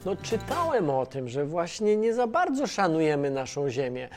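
A woman's voice: deep voice, big pitch swings, moderate pace, a little mellow, soft background music. A performer voicing a curious, good-natured young man at a flight control center in a children's educational cartoon, easygoing and a little clueless. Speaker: flight control operator character.

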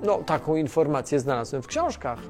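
An actor playing an excitable, scatterbrained, inquisitive man who rambles and repeats himself in a children's educational audio drama. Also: a little high, brisk, faint music behind. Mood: curious, enthusiastic. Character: Tomasz, scatterbrained, excitable, inquisitive male friend of space probe. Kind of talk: children's educational radio play.

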